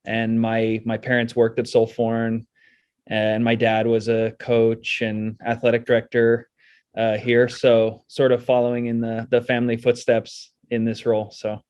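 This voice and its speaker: A middle-aged man with an American accent, giving measured, explanatory answers as a guest in a casual interview. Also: mid-range voice, completely flat delivery, a little mellow, smooth, dry recording, lo-fi sound. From United States and South Korea. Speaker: school sports director, interview guest.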